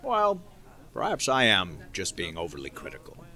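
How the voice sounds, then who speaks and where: A man's voice: sits low, huge pitch swings, normal speed, sharp tone, heavily acted. A man playing a fussy, grumbling musician from the past in an audio drama. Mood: conceding.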